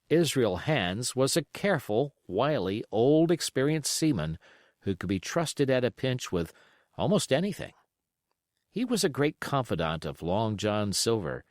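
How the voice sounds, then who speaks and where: A man reading a classic adventure novel aloud as an audiobook narrator, in an expressive storytelling manner. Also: medium pitch, no room sound, studio quality. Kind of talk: scripted literary narration.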